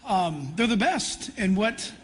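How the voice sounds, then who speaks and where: An American man, a middle-aged or older pastor from California, addressing a church conference in an earnest, conversational preaching style with deliberate pauses. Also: high-pitched, very animated, background noise. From California, United States. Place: large church hall. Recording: reverberant large hall, live PA sound.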